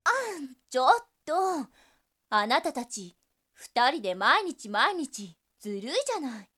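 Slow, teasing succubus, high-voiced. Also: female voice, expressive, sharp tone, exaggerated voice. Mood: pouty, insistent. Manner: languid, flirtatious, teasing. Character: teasing, seductive female succubus, playful and brazen. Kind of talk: Japanese situation voice drama acting.

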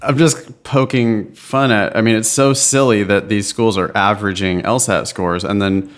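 A man's voice: fairly low pitch, steady tone, moderate pace. A man co-hosting a test-prep podcast, chatting casually in a blunt, exasperated way and laughing often. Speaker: podcast co-host.

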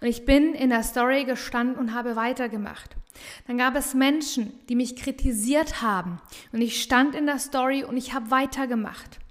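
A woman with a regional dialect talks with bright, emphatic energy in a fairly high voice, hammering home her points by repeating them. She hosts a motivational business podcast, and her voice rings on in a long reverb. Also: fairly even intonation, normal speed. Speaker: business coach and podcast host. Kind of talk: motivational solo podcast talk.

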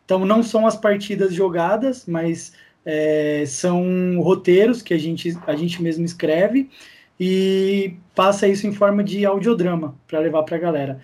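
A man's voice: high voice, moderate pace, a little mellow. A Brazilian man speaking as a podcast guest in casual, conversational style. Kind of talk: casual chat.

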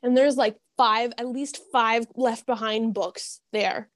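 A woman's voice: a little high, steady tone, slow, dry recording. A woman chatting casually among friends, talking with gushing fan enthusiasm. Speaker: podcast guest.

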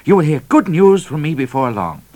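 An eager, boastful male trooper, put on by a man reading a story, speaks in a raised, bouncing pitch. He is fawning yet bold, declaiming like a storybook hero out to please. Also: sing-song, normal speed, mellow. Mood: bold boasting.

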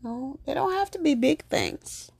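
A woman from Jamaica hosting her own podcast, talking in a soft, slow and earnest reflective monologue. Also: sits high, expressive, gravelly. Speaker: podcast host.

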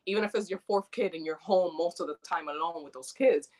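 A woman from New York, born in the Dominican Republic, talking as a doula guest in an earnest, chatty, animated way. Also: fairly low pitch, fairly quick. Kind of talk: casual interview conversation.

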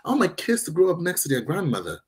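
A man in his thirties from Nigeria, with a partly Americanized Nigerian accent, talking emphatically in casual conversation. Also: fairly high pitch. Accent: Nigerian-inflected, partly Americanized. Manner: emphatic and animated, quick restarts.

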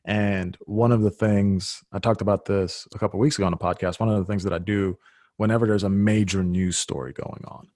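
A man's voice: fairly low pitch, fairly even intonation, fairly quick, darkish tone, no room sound, clean studio sound. A man whose first language is Serbo-Croatian, hosting a podcast and talking earnestly in casual conversation, thinking aloud with restarts.

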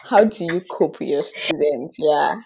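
A woman's voice: sits low, measured pace, darkish tone, slightly rough, low-quality recording. A woman chatting casually and using a lot of fillers as she asks questions. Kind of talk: casual chat.

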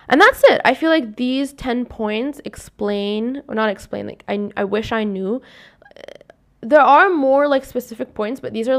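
A young woman with a somewhat scratchy voice, hosting a casual solo podcast, rambling and correcting herself as she talks. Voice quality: scratchy, deepens with long talk. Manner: rambling, self-correcting, upbeat sign-off. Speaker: podcast host.

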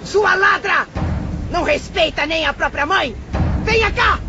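An actress playing a cruel, greedy middle-aged mother who scolds and insults her daughter in melodramatic radio drama style. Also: measured pace. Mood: furious, accusing. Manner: shrill scolding, shouted insults and commands. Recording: dull radio drama with crowd and noise.

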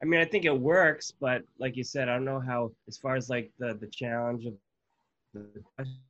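A man's voice: mid-range voice, steady tone, a little fast, fairly bright tone, no room sound. A man from New Jersey on a podcast, chatting casually and hesitantly, with many ums. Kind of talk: casual banter. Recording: dull dry lo-fi sound.

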